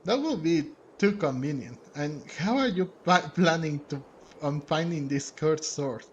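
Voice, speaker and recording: Slow-paced, slightly high-voiced male gamer. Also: lively intonation, dull audio, slight background noise. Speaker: gaming video host. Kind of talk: casual game playthrough commentary. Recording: faint music behind.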